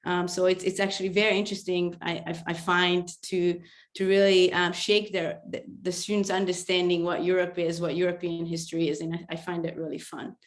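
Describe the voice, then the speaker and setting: A woman in her forties with a Hungarian accent, a history professor giving halting, hesitant panel remarks over a video call, often laughing. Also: on the low side, little pitch movement. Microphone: video call mic.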